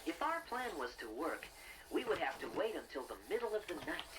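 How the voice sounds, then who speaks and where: An actor voicing a friendly, earnest young bear who gently narrates a children's read-along adventure. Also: mid-range voice, very animated, fairly quick, noisy background, lightly acted. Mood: adventurous storytelling. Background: music.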